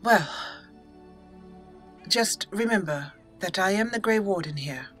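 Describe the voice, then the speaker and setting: A woman's voice: mid-range voice, lively intonation, slow, faint music behind. A woman hosting a roleplay let's play, chatting and reading game dialogue aloud into a close microphone. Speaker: gaming channel host.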